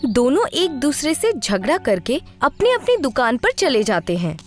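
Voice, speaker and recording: Lively high-voiced woman storyteller. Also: unhurried, soft background music. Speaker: narrator. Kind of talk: scripted children's story narration.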